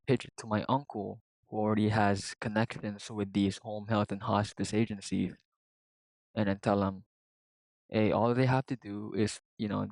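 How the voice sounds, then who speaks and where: A man's voice: on the low side, vocal fry, darkish tone, no room sound, rough recording. A young man with a Filipino accent talking through his plans in a casual vlog, rambling with many fillers and restarts. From Philippines. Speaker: vlogger.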